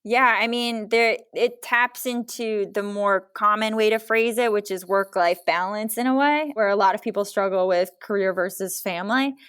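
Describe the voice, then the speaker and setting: Female podcast guest, author. Crisp, resonant tone, effusive and conversational, tight dry recording. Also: medium pitch, normal speed, hardly any pauses, a bit bright, no room sound. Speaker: guest novelist. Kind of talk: casual interview conversation.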